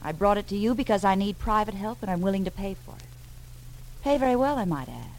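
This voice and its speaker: Actress as swooping, coy American femme fatale. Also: female voice, medium pitch, expressive, fast, a little mellow, lightly acted, rough recording. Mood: coaxing, evasive. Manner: coy, coaxing, theatrical. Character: sultry, flirtatious femme fatale client, evasive and needy. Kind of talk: 1940s-50s radio drama acting. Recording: vintage radio recording, faint noise.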